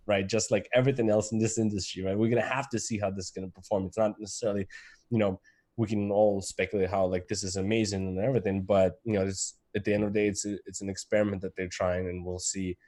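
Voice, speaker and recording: Ukrainian-accented man, lower voice, rambling. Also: a little mellow. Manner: halting, many fillers and restarts. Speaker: crypto podcast co-host. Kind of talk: casual panel discussion.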